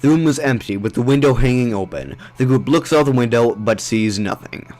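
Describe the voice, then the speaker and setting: A man's voice: medium pitch, brisk, a little mellow, faint music behind. A man reading scripted narration for an audio drama.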